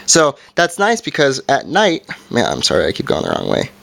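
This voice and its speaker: Male reviewer, mid-pitched voice, informal and halting, walking through a gadget's features. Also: wildly swooping pitch, vocal fry, fairly bright tone. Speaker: product reviewer. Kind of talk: casual hands-on review.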